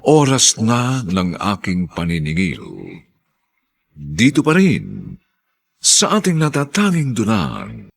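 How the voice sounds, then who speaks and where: A male radio drama host reads the closing credits formally. His voice is low, gravelly and husky, and he delivers slowly with very wide swings in pitch. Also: huge pitch swings.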